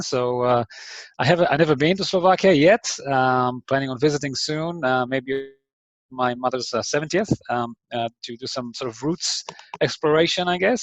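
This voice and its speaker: A man's voice: medium pitch, dry recording. Israeli guy with a bit of kiwi in his accent, lots of ums, webinar mic, kinda muffled. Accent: Israeli English with some New Zealand influence. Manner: hesitant, lots of ums and restarts, friendly. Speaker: startup mentor giving an online lecture. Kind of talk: online webinar talk. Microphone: video call mic.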